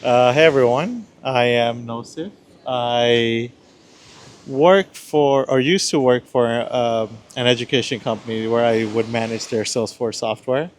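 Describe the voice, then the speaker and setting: A man's voice: medium pitch, measured pace, slight background noise. A man speaking casually and a little tentatively as a guest on a panel. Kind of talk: casual chat.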